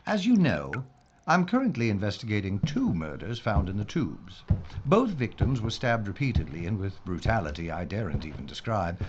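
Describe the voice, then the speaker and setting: Actor as composed inspector, animated pitch. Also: male voice, dull audio, background noise. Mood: grave briefing. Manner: measured, formal, clinical. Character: composed, wry male police inspector in wartime London. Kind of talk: audio drama acting.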